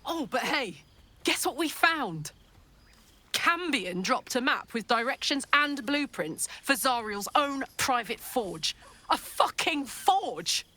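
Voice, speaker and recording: A woman playing a brash, warm-hearted, battle-hardened soldier in a video game, with a hearty, blunt delivery. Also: high-pitched, big pitch swings, slow, exaggerated voice. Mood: excited, determined. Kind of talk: video game character acting.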